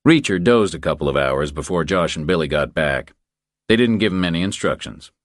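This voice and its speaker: A man's voice: sits low, expressive, fairly quick, no room sound, studio quality. A man reading a thriller audiobook as scripted narration.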